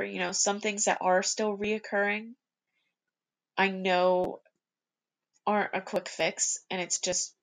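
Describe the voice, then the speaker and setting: A young woman speaks alone on her podcast, earnest and self-reflective, often posing questions to herself. Her voice has a gravelly fry running through it and sits at an ordinary middle pitch. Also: low-quality recording. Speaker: podcast host. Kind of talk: reflective solo podcast monologue.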